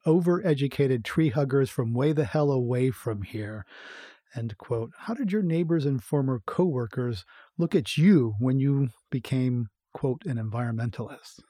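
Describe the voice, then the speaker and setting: Measured male podcast host, dry sound. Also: medium pitch, mellow, dry recording. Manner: measured, attentive, reads quotes aloud. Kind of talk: interview hosting. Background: faint music.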